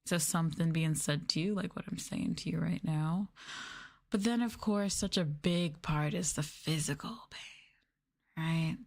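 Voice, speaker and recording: A woman's voice: low voice, a little slow. A woman livestreaming an ASMR roleplay, talking down to her viewers in a teasing, sultry, condescending way. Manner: teasing, condescending, mock-sadistic dominance.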